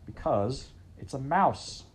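A man reading aloud, voicing a stern, pompous king who is quick to anger and shouts his commands. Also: mid-range voice, expressive, a little hiss or hum, voice pushed up.

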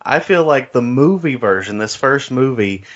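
Mid-pitched man on dull podcast audio. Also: expressive, moderate pace. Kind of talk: casual film discussion.

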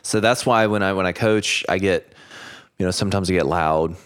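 A man hosting a casual podcast chat, a coach with a loud voice who jumps in with eager interjections. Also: sits low, moderate pace, clear, resonant tone.

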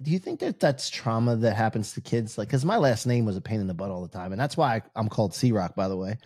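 Mellow-voiced casual male podcast host. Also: medium pitch, dry recording, studio quality. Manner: rambling, jokey questions. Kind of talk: casual interview chat.

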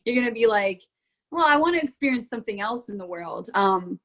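A woman's voice: fairly high pitch, lively intonation, fairly quick, fairly bright tone. A young woman from Florida, a guest on a casual podcast interview, talking in a bubbly, chatty way and laughing often. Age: young adult.

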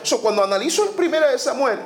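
A man's voice: extremely high pitch, expressive, fast talker, slight background noise. A man preaching a sermon, emphatic, repeating phrases and dropping in the English word "so". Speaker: pastor. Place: church sanctuary.

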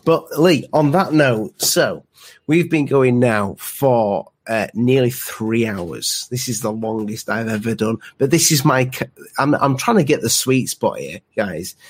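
A man's voice: mid-range voice, unhurried, breathy edge. A man of about forty hosting a live podcast, chatting bluntly with jokes and swearing. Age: around 40. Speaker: podcast host. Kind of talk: casual live-stream chat.

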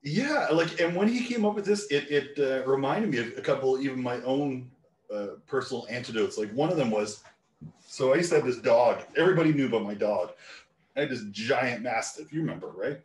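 A man's voice: medium pitch, expressive, a little fast, far from the mic. A man from Newfoundland hosting a casual podcast, loose and joking, laughing often. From Newfoundland, Canada. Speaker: podcast host. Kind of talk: casual chat. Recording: roomy sound, a bit off mic.

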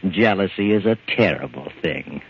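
An actor playing a sinister, sardonic, all-knowing storyteller, gloating in 1940s radio mystery style. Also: male voice, sits low, animated, moderate pace, a little hiss or hum. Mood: gloating, mocking. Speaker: narrator. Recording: phone-like old radio, music behind. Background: music.

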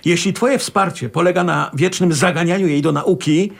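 An actor playing a middle-aged husband and father in a naturalistic radio drama, husky and reproachful, with pointed, probing questions. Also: sits high, moderate pace. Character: calm, needling husband and father of a teenage daughter.

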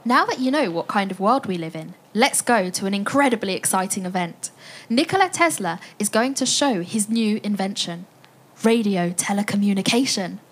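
A woman's voice: mid-range voice, lively intonation, moderate pace, slightly rough. A woman playing an enthusiastic storyteller in a family audio play, inviting listeners into an adventure with a sense of wonder. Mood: excited wonder.

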